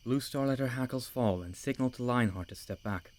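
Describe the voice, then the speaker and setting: A man's voice: medium pitch, a little fast. A man reading scripted story narration as the narrator of an audiobook.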